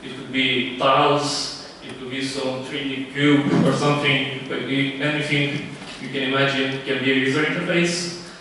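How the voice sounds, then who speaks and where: A man from Romania pitching his startup live, halting often with fillers and restarts. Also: level tone, sharp tone, slight background noise. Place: event room. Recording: echoey room, distant mic.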